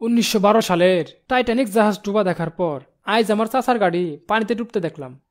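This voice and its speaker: A man doing a whiny high-voiced cartoon henchman. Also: a little fast, close to an everyday voice. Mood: aggrieved whining. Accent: Bangladeshi colloquial Bengali. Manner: complaining, back-talking. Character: whiny, foolish, cheeky male kidnapper henchman. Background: music.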